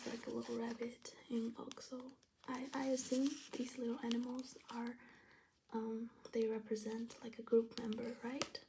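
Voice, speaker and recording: A young woman from China, whispering softly as she flips through her travel journal, with many ums and restarts. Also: on the high side, gravelly, slight background noise, rough recording. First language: Chinese. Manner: soft whisper with fillers and restarts.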